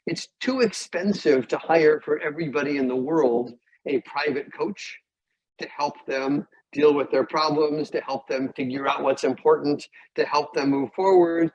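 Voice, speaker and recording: Husky, higher-pitched male academic speaker. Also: lively intonation, moderate pace. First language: English. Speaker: guest lecturer, psychology professor. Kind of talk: academic lecture and discussion. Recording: dull, muffled lo-fi audio.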